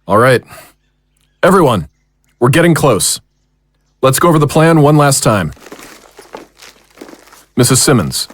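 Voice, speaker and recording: A man's voice: medium pitch, big pitch swings, a little slow, long pauses, no room sound. A man playing a commanding monster hunter who leads a ritual battle in an audio drama. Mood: focused.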